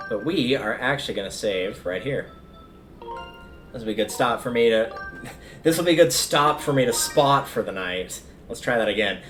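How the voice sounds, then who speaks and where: A man's voice: on the low side, fairly bright tone. A man livestreaming a video game, giving casual, rambling commentary as he thinks aloud.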